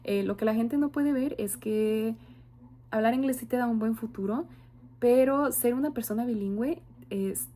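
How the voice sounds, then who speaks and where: A young Mexican woman in her twenties gives a class presentation in a mellow, warm tone. She talks earnestly and thoughtfully, pausing on soft "em" and "am" fillers as she gathers her points. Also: medium pitch. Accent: Mexican Spanish. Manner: earnest, reflective, frequent "am" and "em" fillers. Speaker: university student.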